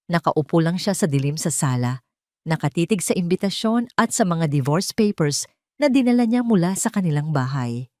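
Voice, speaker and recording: A woman's voice: on the low side, darkish tone, no echo, studio quality. A woman with a Filipino accent reading a scripted dramatic story as a narrator.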